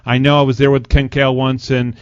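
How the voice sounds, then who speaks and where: A man's voice: medium pitch, level tone, a little fast, no echo. A man hosting a conversational hockey radio interview, chatty and wordy with a joking way about him. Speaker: sports radio show host, interviewer. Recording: dull, lo-fi radio sound.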